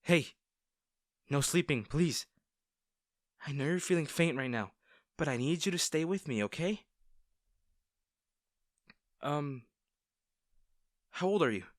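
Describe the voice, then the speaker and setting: Guy acting as a kind worried young rescuer, slow gentle talk, close dry sound, audio roleplay. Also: fairly high pitch, lively intonation, no echo. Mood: worried, reassuring. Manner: gentle, reassuring, hesitant. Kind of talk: acted first-person roleplay audio drama.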